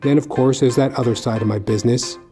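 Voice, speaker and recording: A man playing a sardonic, world-weary private detective, narrating deadpan in hard-boiled style for an audio dramedy. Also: mid-range voice, quick pace, lightly acted. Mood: wry, world-weary. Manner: wry, deadpan wisecracking. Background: music behind the voice.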